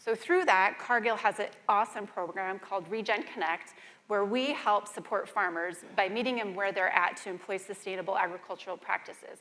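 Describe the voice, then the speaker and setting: A woman speaking on a conference panel, enthusiastic and quick. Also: mid-range voice, pushed and bright, low-quality recording.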